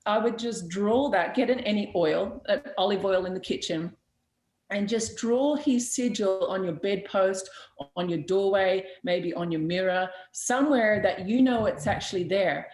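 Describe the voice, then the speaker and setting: A woman's voice: mid-range voice, moderate pace, darkish tone. A woman hosting a witchcraft talk show, answering viewer questions in a blunt, chatty way with frequent laughs, fillers and restarts. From Australia. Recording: roomy echo.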